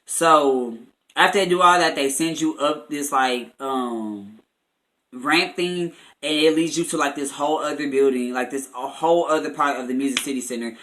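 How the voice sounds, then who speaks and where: Bright-voiced young American man telling a story. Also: fairly high pitch, moderate pace, low-quality recording. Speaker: vlogger. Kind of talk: casual storytime chat.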